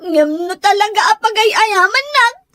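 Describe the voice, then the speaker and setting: A woman's voice: very high-pitched, animated, normal speed, pushed and bright, no room sound, studio quality, exaggerated voice. A woman with an Ilocano accent acting a lovelorn young woman in a radio drama. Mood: tearful longing. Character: young woman pining for her beloved.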